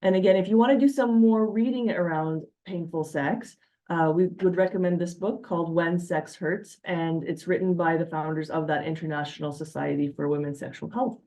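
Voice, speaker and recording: Mujer que presenta con voz cálida y grave. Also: female voice, lowish voice, steady tone, normal speed, dark tone, low-quality recording. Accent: Canadian. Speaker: physician presenter. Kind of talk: educational slide presentation.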